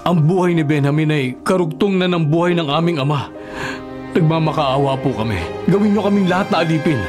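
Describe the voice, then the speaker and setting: Man playing an earnest, higher-pitched Filipino elder brother. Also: fairly quick, over music, close to an everyday voice. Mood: pleading. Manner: earnest, respectful. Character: responsible eldest brother, humble earnest pleading adult son. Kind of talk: Filipino radio drama acting.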